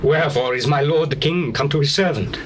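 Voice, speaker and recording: A man's voice: fairly high pitch, fairly quick, close to an everyday voice. An actor playing a humble, deferential landowner addressing his king in a scripture drama, respectful and formal. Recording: faintly noisy old cassette tape.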